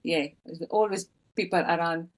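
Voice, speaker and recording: A woman's voice: lowish voice, steady tone, moderate pace. Hesitant woman in her fifties from Fiji. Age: 50s. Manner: hesitant, many fillers and restarts, earnest. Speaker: guest, author. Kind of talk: personal storytelling in interview.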